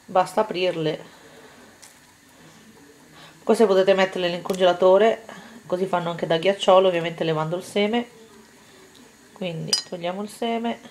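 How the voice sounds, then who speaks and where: A woman's voice: fairly low pitch, darkish tone, a little hiss or hum. A woman talking viewers through a home cooking recipe step by step in a casual, chatty way. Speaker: cooking vlogger. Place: home kitchen table, indoors.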